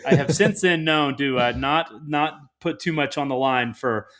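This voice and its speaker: A man's voice: mid-range voice, moderate pace, a bit bright. A man hosting a podcast in a casual, conversational interview style, with a jovial, self-deprecating manner. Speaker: podcast host.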